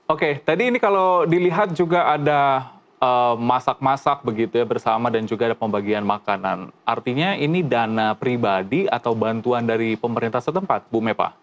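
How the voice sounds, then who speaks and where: A man's voice: mid-range voice, normal speed, dull audio. A man conducting a broadcast interview, asking questions in a polite, formal manner as a TV news presenter.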